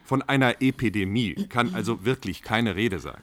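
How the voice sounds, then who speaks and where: Male, lowish pitch, dry close sound; an actor as a formal, reassuring government official in a radio drama panel. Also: a bit bright, no echo, close to an everyday voice. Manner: formal, deliberate, official phrasing. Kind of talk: German radio play staged as a panel discussion.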